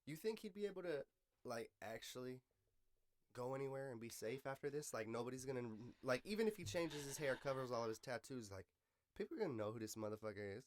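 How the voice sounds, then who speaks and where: An American man with a medium-pitched voice hosts a casual podcast chat. He talks in loose street slang, swears freely and feels his way through his thoughts in a halting flow. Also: animated, a little hiss or hum. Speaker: podcast host.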